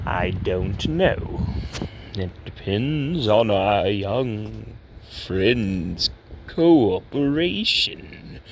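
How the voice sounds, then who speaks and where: A politely sinister middle-aged male asylum doctor played by a man in a horror story. He draws his words out very slowly and deliberately, formal and self-important, over clear noise. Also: on the low side, expressive, exaggerated voice. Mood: measured, ominous. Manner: formal, drawn-out, deliberate, ominously courteous. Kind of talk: amateur horror story voice acting.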